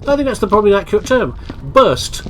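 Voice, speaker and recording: A man's voice: high voice, big pitch swings, fast talker, a bit bright, slight background noise. Congested man rambling over gameplay. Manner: chatty, meandering, self-deprecating. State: congested, bunged-up nose. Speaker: let's play commentator. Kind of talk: rambling casual gameplay commentary. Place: home office.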